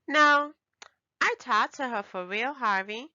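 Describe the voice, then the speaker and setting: A woman voicing an excitable, emphatic little girl who is a daughter in a children's storybook. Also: fairly high pitch, takes it slowly, dry recording, lo-fi sound, voice pushed up, exaggerated voice. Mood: eager, proud.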